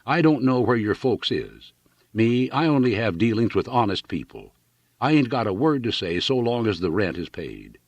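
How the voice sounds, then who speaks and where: A man voicing an irate, vulgar landlady in an audiobook, scolding loudly in uneducated slang. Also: on the low side, very animated, normal speed, dry recording, pitched above the performer's own voice. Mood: indignant, threatening. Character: irate, shrill, vulgar landlady, blustering and hostile. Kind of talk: audiobook character voice.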